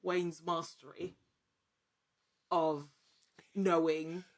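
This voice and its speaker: A woman speaking as an interview guest, chatting casually with halting, emphatic repetition. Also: low voice, flat delivery. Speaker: actor and podcast guest.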